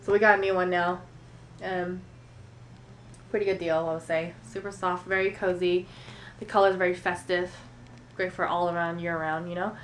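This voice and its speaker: A woman's voice: on the low side, fairly bright tone, a little hiss or hum, poor audio. A woman in her twenties with an American accent, slightly congested from a cold, chatting casually to camera about her shopping. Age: 20s. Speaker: beauty and shopping vlogger. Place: indoor room at home.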